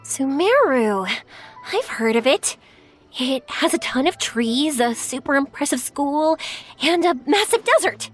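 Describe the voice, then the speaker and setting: A woman voicing a cheerful, excitable and friendly young fireworks maker in a video game, bubbly and expressive. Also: sits high, measured pace, soft background music. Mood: curious.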